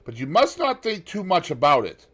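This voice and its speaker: A worldly, witty gentleman with a raised, lilting upper-class tone, put on by a male audiobook narrator. He sits well above the narrator's usual low register and speaks up loud and bright. An airy, knowing figure from a classic novel reading. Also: huge pitch swings, normal speed, heavily acted. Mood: urgent, airy.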